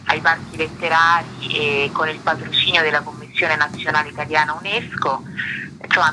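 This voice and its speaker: Low-voiced woman on a noisy radio call. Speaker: radio interview guest, magazine representative. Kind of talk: unscripted interview talk.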